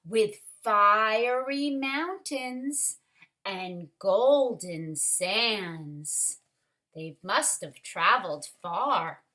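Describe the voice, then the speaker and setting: A woman with a bright, forward voice reads a children's story very slowly. She stretches her words expressively and speaks to the children directly, as a storytime teacher would. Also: mid-range voice. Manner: expressive, deliberate, drawn-out words with asides to children. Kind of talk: read-aloud storytelling for young children.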